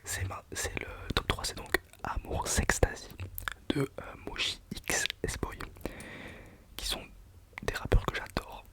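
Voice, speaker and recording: A young man whispering a casual, self-mocking countdown of his favourite songs for his channel. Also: slow pace. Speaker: channel host. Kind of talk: whispered ASMR music countdown.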